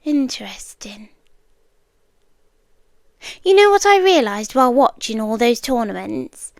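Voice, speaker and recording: A British woman playing an otherworldly, possessive stalker girlfriend in an ASMR roleplay, soft and breathy, often whispering, intimate and eerily tender. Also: on the high side, sing-song, no room sound, clean studio sound. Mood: wistful, intimate. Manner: slow, deliberate, intimate, teasing.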